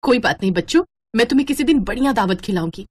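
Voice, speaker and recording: A woman voicing a poor, gentle, long-suffering mother in a storybook tale, earnest and humble. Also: a little high, lively intonation, quick pace, slightly rough. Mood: consoling.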